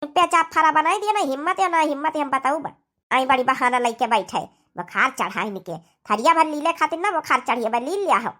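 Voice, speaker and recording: A scornful village mother-in-law, voiced by a woman scolds in cartoon-comedy fashion. The voice is high and piercing, with an Awadhi accent and a mocking, nagging manner. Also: fairly quick, pushed and bright.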